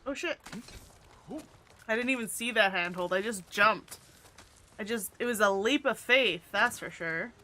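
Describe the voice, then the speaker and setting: A woman's voice: mid-range voice, measured pace, pauses often, pushed and bright, a little hiss or hum. A woman streaming a video game, chatting casually and playfully, often laughing at herself. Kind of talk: casual gameplay commentary.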